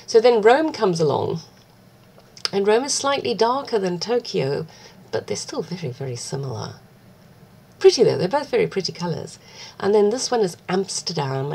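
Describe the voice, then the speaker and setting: A woman, husky from a cold, chatting casually through a craft tutorial with rambling, self-deprecating asides. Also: lowish voice, a little mellow. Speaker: art vlogger.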